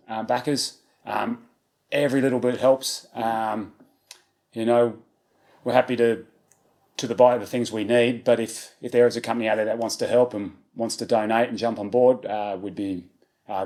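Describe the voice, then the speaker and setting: A man's voice: mid-range voice, a little mellow. A man in his thirties with an Australian accent, answering interview questions casually with many fillers. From South Australia. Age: 30s. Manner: easygoing, many ums and restarts. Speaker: charity walker.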